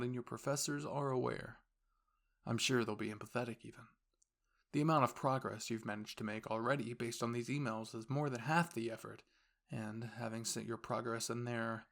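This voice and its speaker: A man's voice: medium pitch, gravelly, close to an everyday voice. A man voicing a composed, formal young university student and caring boyfriend, speaking intimately and reassuringly to the listener in an audio roleplay. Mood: calm, reassuring. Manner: measured, formal, gently reassuring phrasing.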